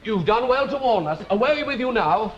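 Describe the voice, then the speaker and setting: A brusque courtier lord who barks his questions. His voice is very high and bouncy. The recording is dull and clearly noisy. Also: lively intonation, normal speed. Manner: brusque and blunt.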